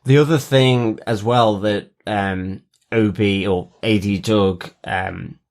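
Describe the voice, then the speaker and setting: A man speaks slowly in a fairly low voice as a podcast co-host. He is casual but halting, pausing often while he works through his point in an informal review chat. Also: steady tone, darkish tone. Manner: halting, pausing, piecing thoughts together.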